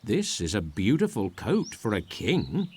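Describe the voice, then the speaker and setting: A man voicing a bold, wine-drinking castaway in a storybook, with drawn-out exclamations. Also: fairly low pitch, wildly swooping pitch, a little mellow, slightly rough, full character voice. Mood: admiring. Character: bold wine-drinking male castaway who wants to be king. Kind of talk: storybook character voice.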